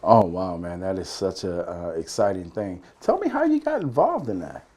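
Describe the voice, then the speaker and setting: A man's voice: deep voice, sing-song, moderate pace, a little mellow. A man hosting a podcast interview, asking questions and encouraging his guest with frequent affirming murmurs. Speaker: podcast host.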